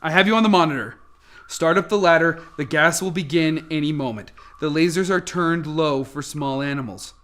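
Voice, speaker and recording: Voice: medium pitch, moderate pace, a bit bright, lightly acted. A performer playing an urgent scientist in a radio play, intense and stammering under pressure while guiding a colleague through danger. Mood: urgent coaching.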